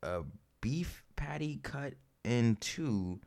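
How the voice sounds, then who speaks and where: An American man co-hosting a podcast, chatting casually and jokily as he reads out information in a halting way. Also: mid-range voice, lively intonation, gravelly, darkish tone. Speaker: podcast co-host. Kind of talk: casual comedic chat, reading out information.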